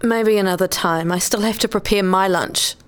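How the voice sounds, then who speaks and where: A woman's voice: medium pitch, moderate pace, clean tone, lightly acted. A woman playing a weary, dismissive wife and mother in a radio play, speaking curtly and with reserve. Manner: curt, reserved.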